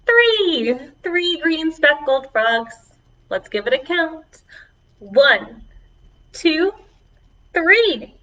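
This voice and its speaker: Female children's librarian for preschool storytime: lively, swooping delivery, slow and encouraging, muffled lo-fi online video sound. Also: high voice, distant mic. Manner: encouraging, animated, speaking to young children. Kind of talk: preschool singalong and chat to children. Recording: dull, lo-fi online video audio.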